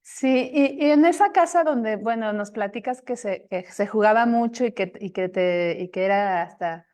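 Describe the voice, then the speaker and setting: A woman interviewing someone casually, asking informal questions in a halting, rambling way. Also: medium pitch, a little mellow.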